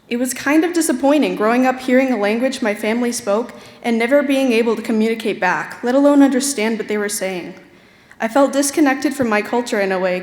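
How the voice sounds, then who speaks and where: Senior girl student speaker, candid personal speech, bright high voice, roomy echo. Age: teens. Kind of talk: prepared speech. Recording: roomy hall with long reverb.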